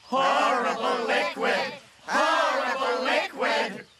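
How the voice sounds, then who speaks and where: A man's voice: extremely high pitch, slow pace, a loud crowd. A man voicing a rebellious, zealous jungle animal leading a mob in a cartoon. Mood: defiant. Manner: shouty, zealous.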